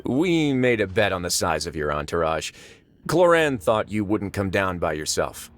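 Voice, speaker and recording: A shrewd fortress boss for a game, low and expressive. He is casual, dryly teasing and laid-back, over slight background noise. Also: moderate pace. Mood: teasing, assured. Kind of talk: video game voice acting.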